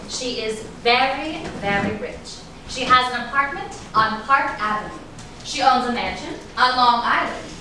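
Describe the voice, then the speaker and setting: Echoey, distant actress as a guarded, high-voiced woman. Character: guarded, ambitious actress fending off an old flame. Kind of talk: staged reading of a stage drama. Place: echoey indoor room at a staged reading. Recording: echoey room, distant mic, noisy.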